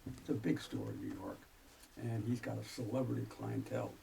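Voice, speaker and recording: A man hosting a radio interview, asking halting questions and giving frequent backchannel replies. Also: lowish voice, huge pitch swings, vocal fry, dark tone, recorded from a distance, slight background noise, low-quality recording. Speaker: radio host.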